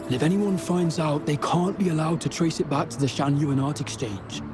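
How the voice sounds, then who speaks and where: A man's voice: fairly high pitch, moderate pace, background noise, close to an everyday voice. An actor voicing a wary henchman in a video game, cautious and matter-of-fact. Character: wary male henchman in shady scheme.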